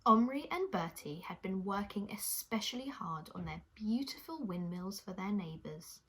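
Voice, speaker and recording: A woman's voice: mid-range voice, animated, normal speed, breathy edge. A woman hosting a children's puppet show and telling stories, speaking encouragingly and directly to young listeners. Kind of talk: children's presenting and storytelling.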